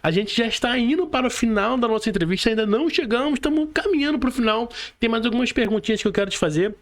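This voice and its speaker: A man with a high voice and a Brazilian accent hosts a casual interview. He talks informally and keeps tagging his sentences with little questions for agreement. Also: expressive, quick pace. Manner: informal, frequent tag questions seeking agreement. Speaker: podcast host interviewing a guest.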